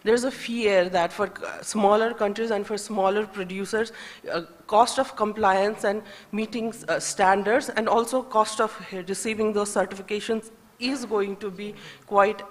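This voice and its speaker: A woman from Nepal with a Nepali accent, speaking as a conference panelist in a hesitant, halting way, thinking aloud as she makes her points. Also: mid-range voice, steady tone. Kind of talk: semi-spontaneous panel remarks on trade policy. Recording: echoey hall with long reverb.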